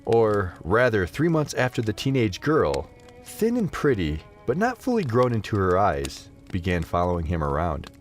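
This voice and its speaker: A man narrating a scripted fantasy story as an expressive audio drama narrator. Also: fairly low pitch, normal speed, faint music behind.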